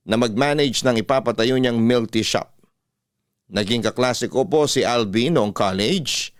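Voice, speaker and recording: A man's voice: mid-range voice, no echo. Dry-voiced Filipino male radio storyteller. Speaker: radio drama host and letter reader. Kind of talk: scripted sentimental storytelling narration, classic radio style. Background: soft music.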